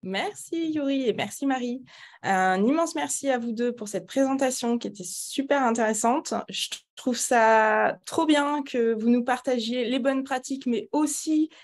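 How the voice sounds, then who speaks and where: A woman's voice: a little high, measured pace. A woman moderating a workshop, hosting the question-and-answer session in a conversational way.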